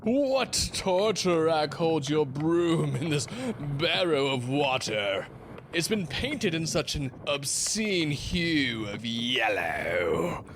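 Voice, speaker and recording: An actor playing a pompous, fussy first mate of an old sailing ship in a theatrical audio drama, with florid archaic phrasing. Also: male voice, a little high, expressive, slow pace, fairly bright tone, slight background noise, heavily acted. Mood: outraged.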